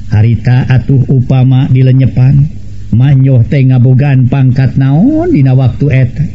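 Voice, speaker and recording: A man's voice: mid-range voice, muffled recording. A man with a Sundanese accent narrating a folk tale and addressing his listeners as a storyteller.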